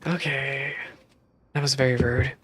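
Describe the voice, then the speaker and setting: A woman's voice: very deep voice, steady tone, unhurried, slightly rough. A woman streaming a game, casually reacting and reading the on-screen text aloud on a close microphone.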